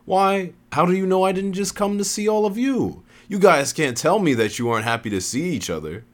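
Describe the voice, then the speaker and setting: A man plays a coy storybook woman, pitched well up high. The delivery is sing-song and mocking, swooping about as the character teases everyone. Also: big pitch swings, measured pace.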